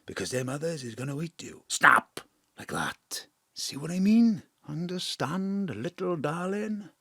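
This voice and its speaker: A cynical Welsh crook and family man in an audio play. His husky voice swings freely up and down as he talks in a blustering, streetwise patter. The sound is close and dry. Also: a little high, big pitch swings, unhurried, bright, forceful tone, no room sound, heavily acted. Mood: streetwise, lecturing. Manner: colloquial, blustering, streetwise lecturing. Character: roguish thief father, cynical, selfish, proud of stealing. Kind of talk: audio drama acting.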